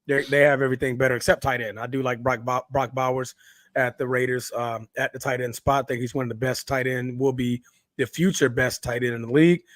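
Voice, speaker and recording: A man co-hosting a sports podcast with a hoarse, raspy voice, chatting loosely with plenty of fillers. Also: mid-range voice, brisk, no room sound. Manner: relaxed, rambling with many fillers. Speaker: podcast co-host. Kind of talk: casual sports chat.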